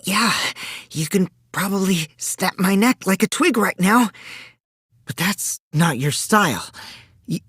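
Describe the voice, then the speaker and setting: A childish teenage schemer from a video game, a self-styled supreme leader of evil. The voice swoops dramatically between bratty teasing and sinister taunts, unhurried and recorded close and dry. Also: male voice, high-pitched, animated, fairly bright tone, breathy edge, no room sound, exaggerated voice. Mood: sly, taunting. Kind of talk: English video game voice acting.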